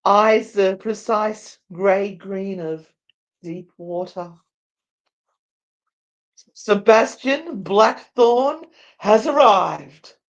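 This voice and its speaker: Slow, theatrical female storyteller. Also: medium pitch, muffled recording. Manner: deliberate, theatrical. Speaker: narrator and co-host. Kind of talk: dramatic story narration, live read.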